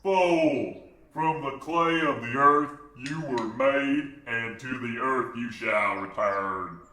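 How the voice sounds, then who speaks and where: A man's voice: medium pitch, slow, sharp tone. Man playing God as an echoing, blustering suspect. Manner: hesitant, stammering, then pompously defiant. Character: God as evasive, blustering male gangster suspect. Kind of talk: old-time radio comedy acting.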